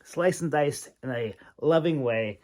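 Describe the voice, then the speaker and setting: A man giving an informal, rambling critique as a host, speaking haltingly with long pauses and repeated words. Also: a little high, heavy background noise. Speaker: host, independent fantasy writer and audiobook reader giving critique.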